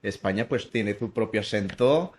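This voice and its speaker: A man's voice: lowish voice, normal speed, darkish tone, slight background noise. A man with a Colombian accent, a guest in a casual chat, talking in a friendly, easygoing way. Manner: friendly, laughs often, easygoing. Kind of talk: casual conversation.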